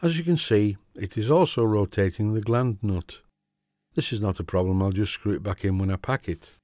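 A middle-aged man with a Yorkshire accent, giving a steady, methodical workshop tutorial voice-over about model engine repair. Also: fairly low pitch, moderate pace, no echo, studio quality. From Yorkshire, England. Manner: steady, methodical, plain-spoken instruction. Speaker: model engineering channel host.

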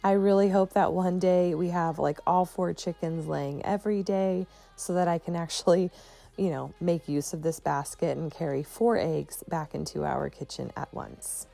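A stay-at-home mom giving a chatty, relaxed voice-over for her home vlog, often saying "like" and "you know". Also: fairly low pitch, normal speed, creaky, soft background music. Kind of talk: casual voice-over narration.

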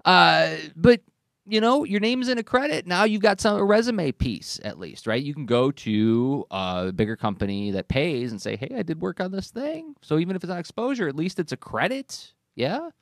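A man in his forties hosting a casual talk show, speaking in an animated, emphatic way. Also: a little high, normal speed, gravelly, no echo, clean studio sound. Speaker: podcast host. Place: studio with a dark backdrop. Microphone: close broadcast mic on boom arm, headset.

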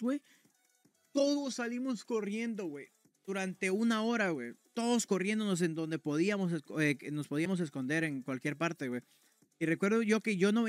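A young male streamer talks casually to his live chat, telling a story in loose, slangy language. His voice sits a little high for a man. The sound is close and dry, as from a mic in a room at home. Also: no room sound. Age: young adult. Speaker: game streamer.

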